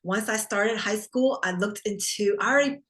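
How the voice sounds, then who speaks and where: A woman's voice: medium pitch, moderate pace, fairly bright tone. A woman whose first language is Vietnamese, speaking earnestly as an optometrist guest in an interview. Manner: earnest, determined, tells personal story. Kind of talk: conversational interview answers.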